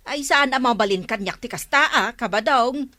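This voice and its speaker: A woman's voice: on the high side, normal speed, bright, forceful tone. A woman with an Ilocano accent playing a concerned, insistent village neighbour in a radio comedy drama.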